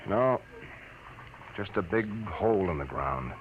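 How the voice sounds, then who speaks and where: Vintage radio role: nervous grudging reporter, animated intonation, noisy dull recording. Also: male voice, low-pitched, slow pace. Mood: reluctant. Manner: hesitant, grudging. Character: reluctant, nervous male reporter sidekick. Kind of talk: 1950s radio drama acting.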